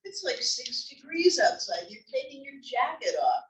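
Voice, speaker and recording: A woman's voice: high voice, very animated, normal speed. A woman playing a wry, easygoing, teasing wife in a comedy table read, with an offhand manner. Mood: teasing, fond. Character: wry, easygoing wife who teases her husband. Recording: echoey room, distant mic.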